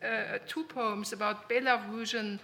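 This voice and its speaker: A woman's voice: fairly high pitch, steady tone, a little slow, sharp tone. A woman moderating a panel discussion, asking questions and correcting herself mid-sentence. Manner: probing questions, self-correcting.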